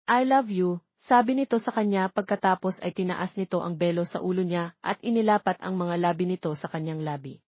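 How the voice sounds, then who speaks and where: A woman's voice: fairly low pitch, fairly quick, few pauses, dry recording. Dull-sounding Filipino woman narrating romance. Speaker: audiobook narrator. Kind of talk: scripted romance story narration.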